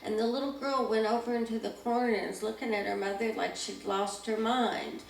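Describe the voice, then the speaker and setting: A woman's voice: a little high, steady tone, a little fast, vocal fry. A woman on a discussion panel, telling anecdotes in a reflective way. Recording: echoey room, distant mic, faint noise.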